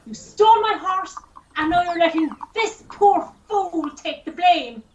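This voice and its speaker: A woman's voice: extremely high pitch, animated, measured pace, far from the mic, slight background noise. A woman playing an indignant, scornful horse owner in a radio play. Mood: indignant, accusing. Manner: scornful, imperious. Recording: muffled, echoey, off-mic recording.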